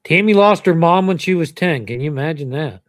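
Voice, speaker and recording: A man's voice: fairly high pitch, lively intonation, fairly quick, no room sound, clean studio sound. Rambling storytelling middle-aged man. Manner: rambling storyteller, chuckles often. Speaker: channel host. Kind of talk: casual family chat.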